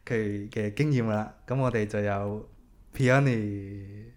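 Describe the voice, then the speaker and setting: A man's voice: on the low side, steady tone, takes it slowly, a little mellow. A man hosting a casual podcast, chatting in an easygoing way and mixing English words into his talk. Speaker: podcast host.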